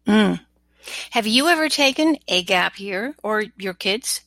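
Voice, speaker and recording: A woman's voice: mid-range voice, lively intonation, a little slow, sharp tone, no echo. A woman hosting a podcast, asking prepared questions in a conversational interview style. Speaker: podcast host.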